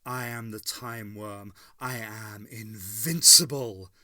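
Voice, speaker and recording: Monster read: a man doing a boastful she-worm creature, loud and gloating, dry close sound. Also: slow, dry recording, heavily acted. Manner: boastful, booming threats. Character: menacing female time worm monster.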